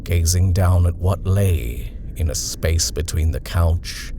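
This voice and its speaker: A man reading a horror story aloud in a measured, expressive narration. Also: sits low, background noise. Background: music.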